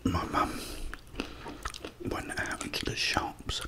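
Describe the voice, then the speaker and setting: A middle-aged British man telling rambling, unhurried anecdotes as an ASMR host in between bites of food. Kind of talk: whispered ASMR storytelling while eating.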